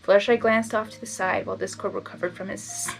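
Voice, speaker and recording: A woman's voice: mid-range voice, fairly even intonation, fast. A woman reading fan fiction aloud and breaking off to give her own reactions, often stumbling over words and interrupting herself. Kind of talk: blind reading with casual commentary. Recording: background music under the voice.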